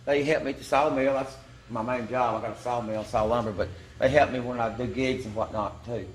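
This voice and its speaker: A man's voice: medium pitch, fairly even intonation, brisk, reverberant, slight background noise, low-quality recording. A man, a pianist and singer, talking in a folksy, joking way on a reality show. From Georgia, US.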